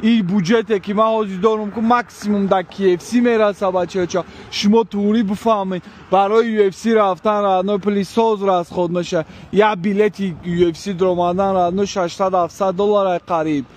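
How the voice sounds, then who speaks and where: Chatty Tajik delivery driver, high voice. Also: male voice, steady tone, a little mellow, a little hiss or hum. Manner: chatty, switches to halting broken English with strangers. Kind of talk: casual street vlog.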